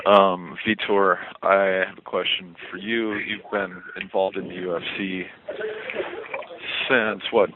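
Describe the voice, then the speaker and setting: A male reporter asking fighters questions on a conference call in a friendly, polite way. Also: sits low. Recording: tinny phone line with faint hiss.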